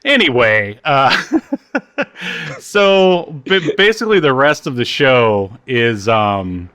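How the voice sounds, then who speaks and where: A man in casual podcast chat, recapping as co-host in a halting, hesitant way with trailing asides. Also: mid-range voice, very animated, bright, forceful tone, smooth.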